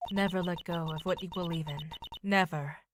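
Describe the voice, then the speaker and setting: A woman playing a composed, encouraging female mentor lawyer in an amateur video game fandub, measured and reassuring. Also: lowish voice, fairly even intonation, noisy background, lightly acted. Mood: earnest.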